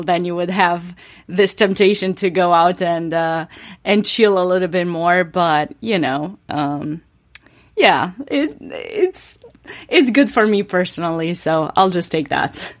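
A woman from Romania with a Romanian accent, a podcast guest speaking in a thoughtful, hesitant way with many ums. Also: fairly low pitch. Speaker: guest, chess grandmaster and coach. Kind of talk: interview conversation.

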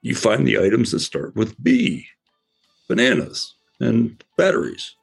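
A man voicing a sensible, patient male elephant in a children's cartoon, explaining things calmly. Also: medium pitch, unhurried, vocal fry, slightly rough, faint music behind, exaggerated voice. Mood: bargaining. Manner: patient, explaining, mildly fussy.

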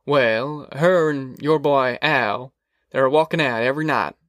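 Man voicing anxious, polite country father, mid-pitched. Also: measured pace, fairly bright tone, dry recording, clean studio sound, close to an everyday voice. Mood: worried, hesitant. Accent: rural American South. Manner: hesitant, polite, folksy. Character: Wainwright, anxious, earnest poor farmer and worried father. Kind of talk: audiobook character dialogue.

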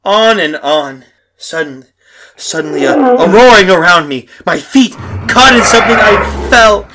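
An actor playing a guilt-ridden, terrified dead man in a horror radio play, narrating melodramatically and haltingly with repeated phrases. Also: sits high, lively intonation, crowd noise. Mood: frantic, tormented.

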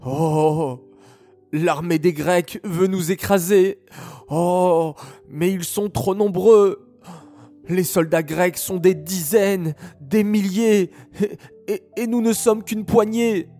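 A man's voice: high voice, takes it slowly, warm tone, soft background music, pitched above the performer's own voice. A man voicing a frightened band of young fighters who stammer in panic. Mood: scared.

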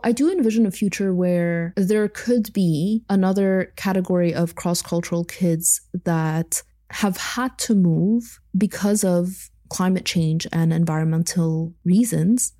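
A woman's voice: on the low side, dark tone. A young woman hosting a solo podcast, talking reflectively with small laughs and asides. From Egypt. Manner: thoughtful, with light laughs and asides. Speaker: podcast host.

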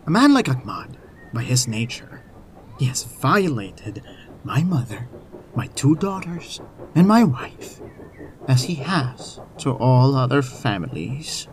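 A man acts a wronged, resolute avenger in an audio drama. His mid-pitched voice moves slowly and gravely, with a faint ambient noise underneath. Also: big pitch swings, poor audio. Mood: bitter, aggrieved.

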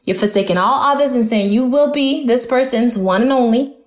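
Actress as folksy mother, audio drama, high voice, roomy echo, protective, direct. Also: fairly quick, lightly acted. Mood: protective, serious. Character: protective, welcoming mother of a grown daughter. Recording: echoey room.

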